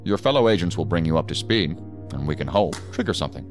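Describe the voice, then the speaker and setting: Deep-voiced, stern federal director. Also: male voice, animated, fast talker, faint music behind. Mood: firm, controlled. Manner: authoritative, curt, formal. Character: stern, commanding male assistant director of federal investigators. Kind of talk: audio drama acting.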